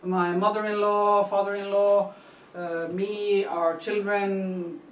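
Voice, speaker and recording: A woman from Norway guiding visitors through a small sponge museum, talking in a halting, word-searching way. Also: medium pitch, flat delivery, muffled recording. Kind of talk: casual explaining chat. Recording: echoey room, distant from mic, faint noise.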